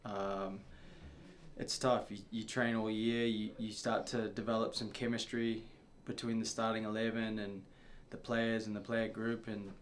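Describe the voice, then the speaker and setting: Subdued low-voiced young man at a presser. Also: flat delivery, a little hiss or hum. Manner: subdued, halting, many fillers and restarts. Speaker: soccer player. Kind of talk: post-match press conference answers. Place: press room before a sponsor backdrop.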